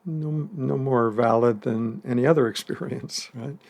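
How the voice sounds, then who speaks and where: A man guides a meditation in a calm, unhurried way, leaving long pauses between phrases. His voice has a gravelly edge at a mid pitch, and a soft laugh slips in now and then. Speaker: meditation teacher.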